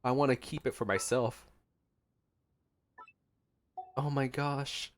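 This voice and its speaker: A man's voice: mid-range voice, steady tone, normal speed, slight background noise. Man giving casual gameplay reactions. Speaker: gaming video host. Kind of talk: casual playthrough reaction commentary.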